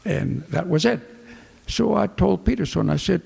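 An older man with a Greek accent, telling his wartime memories in a lively storytelling manner, acting out the dialogue. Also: sits high, moderate pace, gravelly, slightly rough, in a large hall. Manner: lively storyteller, acts out dialogue with hand gestures. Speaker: veteran fighter pilot. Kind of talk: recounting war memories. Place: office with flags, indoors. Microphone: desk microphones in front.

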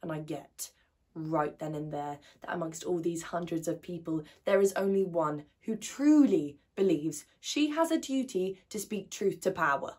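A woman playing an outspoken, wounded 21-year-old idealist in a colloquial, emotional stage monologue, with a husky voice. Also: lowish voice, expressive, moderate pace, darkish tone. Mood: disillusioned, defiant.

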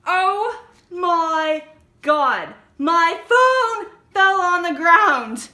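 Puppet-show teen girl adult female performer: high, bright, whiny, flippant, overdramatic. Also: animated, drawn-out words, echoey room, heavily acted. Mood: annoyed. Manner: exaggerated, whiny teen delivery.

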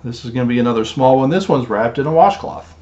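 A man's voice: mid-range voice, expressive, fast talker, lo-fi sound, noisy background. A man in his forties casually showing items in a home office vlog, rambling with lots of fillers while he handles things on camera. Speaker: storage unit reseller. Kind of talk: casual show-and-tell vlog. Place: small home office, indoors.

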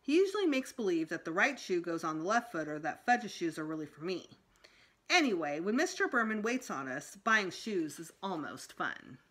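Very animated middle-aged woman reading aloud. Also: on the low side, normal speed. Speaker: school storytime reader. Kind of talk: expressive children's book read-aloud. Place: small room indoors at home.

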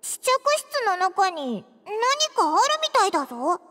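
A woman voicing a small, childlike floating fairy in a Japanese game, excitable and nosy, with a squeaky voice and blunt, exclamatory phrasing. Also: moderate pace, noisy background. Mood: startled. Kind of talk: Japanese anime game voice acting. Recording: game music behind the voice.

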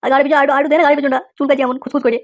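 A woman's voice: sits high, flat delivery, racing, lightly acted. A woman voicing a lazy, whiny schoolboy rascal in a comic cartoon, pleading and full of exclamations. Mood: demanding, content.

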